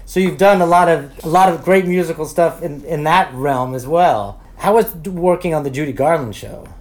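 Male interviewer, higher pitch, admiring, attentive. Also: normal speed, a little mellow. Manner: admiring, listens with short replies.

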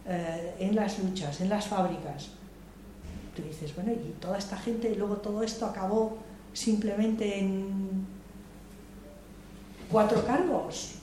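A woman's voice: medium pitch, steady tone, slow, long pauses, dark tone. An older woman from Madrid speaking at a public debate, reflective and hesitant, with many restarts and repeated words. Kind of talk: spontaneous political talk at a public debate. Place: large hall, public debate session. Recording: echoey room, voice from far off, faint noise.

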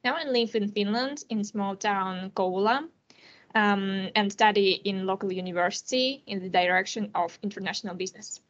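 A teenage woman with a Russian accent, answering questions carefully and pausing often to search for words. Her voice sits at an ordinary pitch, and the audio sounds a little dull and lo-fi. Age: teens. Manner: careful, with frequent hesitations. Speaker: international student and podcast guest.

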